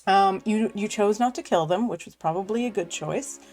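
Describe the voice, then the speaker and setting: A woman's voice: mid-range voice, normal speed, a little mellow, soft background music. A woman running a tabletop game as game master, casual and laughing often with many ums while she recaps the story.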